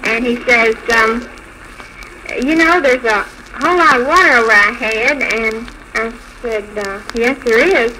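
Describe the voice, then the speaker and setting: Female 1940s radio guest, American, high bright voice, halting anecdotal delivery, slightly noisy vintage recording. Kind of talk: live 1940s radio interview, telling anecdotes.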